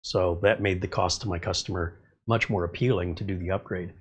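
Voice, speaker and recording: Stuffy-nosed middle-aged guy hosting a live stream, lower voice, rambly chat with viewers. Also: steady tone, brisk, breathy edge. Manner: rambling, chatty, reads viewer comments aloud. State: slightly congested. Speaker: livestream host. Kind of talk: casual livestream chat.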